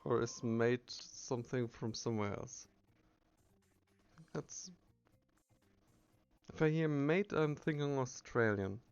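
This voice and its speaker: A man narrating a video game playthrough, reading the text aloud with casual asides and halting self-corrections. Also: mid-range voice, clean tone, dull audio.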